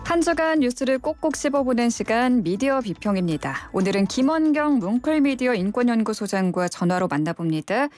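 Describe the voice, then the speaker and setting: A woman hosting a news radio program, speaking in a measured, polished announcer's delivery. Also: fairly high pitch, expressive, darkish tone, faint music behind.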